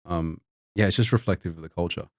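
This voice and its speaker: A man's voice: low-pitched, quick pace, no room sound, clean studio sound. A young man, a doctor, telling stories in casual chat, rambling with many restarts and fillers. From Australia. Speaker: podcast guest, doctor.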